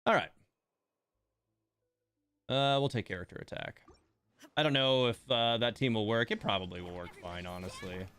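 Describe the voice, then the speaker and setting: A man's voice: mid-range voice, normal speed, pauses often, a bit bright, clear, resonant tone. A man giving casual live game commentary, thinking aloud in broken, hesitant phrases. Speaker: game livestream host.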